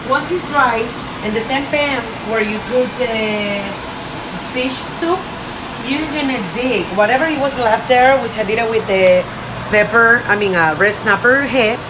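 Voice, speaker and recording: A woman's voice: fairly high pitch, a little slow. A woman in her thirties from Venezuela with a Venezuelan Spanish accent, chatting upbeat with viewers while cooking in her small home kitchen. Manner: upbeat, talks straight to viewers, "guys". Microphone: camera across the kitchen. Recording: echoey kitchen, voice from far off, hum behind.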